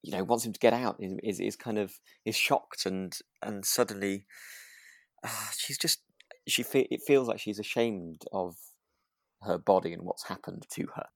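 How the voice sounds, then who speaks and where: A British man co-hosting a relaxed podcast, chatting casually and hesitantly, repeating words as he thinks aloud. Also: lowish voice, moderate pace, gravelly, no echo, studio quality. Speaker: podcast co-host and actor.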